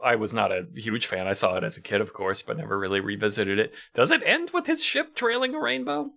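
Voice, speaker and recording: A comedy podcast panelist with a mid-pitched, very animated voice. The talk is casual and incredulous, often slipping into acted-out bits. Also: brisk, gravelly.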